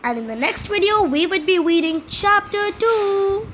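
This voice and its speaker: Female read-aloud host, high voice, children's storybook narration with slight stumbles, faint noise behind. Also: lively intonation, a little slow, fairly bright tone.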